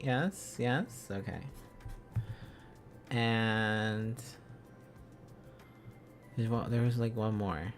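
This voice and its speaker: Slow-talking man streaming casually over music. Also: fairly low pitch. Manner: playful, thinking aloud. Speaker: livestreamer. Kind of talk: casual livestream study chat.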